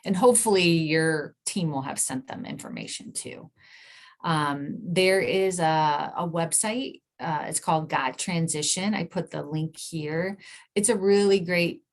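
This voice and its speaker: A woman's voice: sits low, a little slow. A woman speaking conversationally as a nurse practitioner, giving an informal explanatory talk in plain words.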